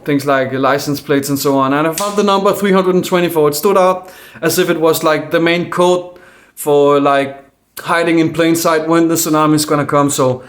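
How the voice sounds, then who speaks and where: Man with a Danish accent, slightly high voice, unscripted to camera, stumbling and restarting but calm. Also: moderate pace. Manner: hesitant, many fillers and restarts; calm, earnest. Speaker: independent video maker. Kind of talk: casual monologue to camera.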